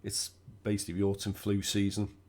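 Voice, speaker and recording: A man with a cold, sounding croaky and stuffed up, chatting casually through his own racing gameplay. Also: fairly low pitch, brisk. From United Kingdom. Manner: relaxed, chatty, thinking aloud while driving. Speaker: gaming channel host.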